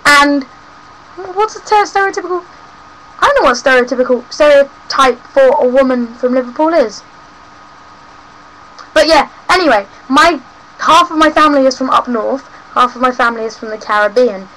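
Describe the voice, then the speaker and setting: A woman's voice: high-pitched, moderate pace, a bit bright, poor audio. A young woman from South West London with a London accent, rambling and ranting cheekily in a casual home vlog. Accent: London English. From South West London, England. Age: young adult. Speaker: vlogger. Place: home room.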